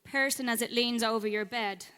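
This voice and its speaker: An actress as a woman reading out an eerie message onstage in a horror drama. Her voice sits fairly high and rings through a reverberant live venue. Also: steady tone, normal speed, a bit bright, smooth, lightly acted. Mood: eerie, menacing. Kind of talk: live audio drama monologue. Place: cellar performance venue before an audience. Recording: echoey live cellar venue.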